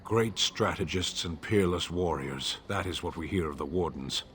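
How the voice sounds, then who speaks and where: An actor playing a stern, sceptical, towering warrior in a fantasy video game, speaking in a stoic, blunt and challenging way. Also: male voice, sits low, moderate pace, fairly bright tone, a little hiss or hum.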